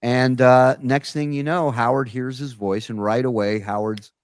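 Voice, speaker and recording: A man's voice: medium pitch, steady tone, normal speed, clear, resonant tone. A man hosting a casual talk show, telling stories in a blunt, profane way. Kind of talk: casual conversational storytelling.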